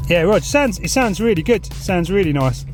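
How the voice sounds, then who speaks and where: Higher-voiced southern English man on CB. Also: lively intonation, moderate pace. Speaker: CB radio operator filming a vlog. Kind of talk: casual radio chat. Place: inside a parked vehicle. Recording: music and radio sounds inside a vehicle.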